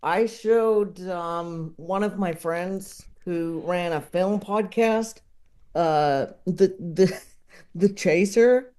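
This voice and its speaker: A man with a soft-edged, mellow voice talks casually as an interview guest. He goes slowly and haltingly, wandering through his thoughts in a rambling way. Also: high voice, clear, resonant tone. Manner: halting, rambling, with chuckling asides. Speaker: podcast guest, comedy enthusiast.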